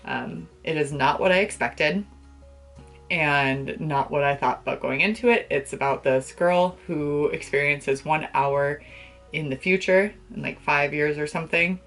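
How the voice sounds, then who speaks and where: A young woman chatting casually to the camera as a book vlogger, with an expressive, gushing and emphatic way of talking. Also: sits low, unhurried, soft background music. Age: young adult. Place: small bedroom, indoors.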